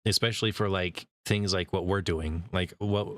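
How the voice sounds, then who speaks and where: A man hosting a casual interview with a voice actor, relaxed and quick to laugh. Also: low voice, dry recording, clean studio sound. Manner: relaxed, with easy laughs and "yeah" backchannels. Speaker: interview host.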